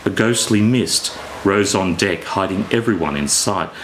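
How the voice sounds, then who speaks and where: A man reading his own fiction aloud as an author, in a theatrical, deliberate storytelling manner. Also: sits low, a little hiss or hum, poor audio. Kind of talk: expressive scripted story reading.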